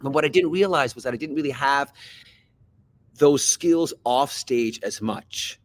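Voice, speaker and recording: A man from Switzerland, a podcast guest who is an actor and coach, telling a personal story in a thoughtful, halting way with many repeated words. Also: medium pitch. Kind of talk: reflective personal story in conversation.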